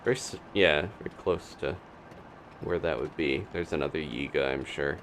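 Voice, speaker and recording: A man's voice: extremely low pitch, normal speed, a bit bright, slight background noise. A man doing casual live commentary on a video game as he plays, thinking aloud. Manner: thinking aloud, talking to himself and his game character.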